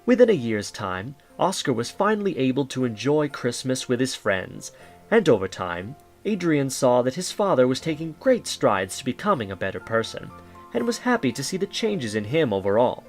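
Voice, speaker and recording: A man narrating a holiday audio drama as a kindly storyteller, in a measured, grandiose, theatrical way. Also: mid-range voice, sing-song, faint music behind. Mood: warm storytelling.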